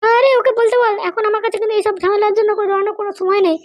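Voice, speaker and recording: A performer voicing a scheming, haughty woman politician in a cartoon comedy, bossy, scolding and fond of sharp questions. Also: very high-pitched, steady tone, rapid-fire, no echo. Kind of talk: amateur cartoon comedy dialogue.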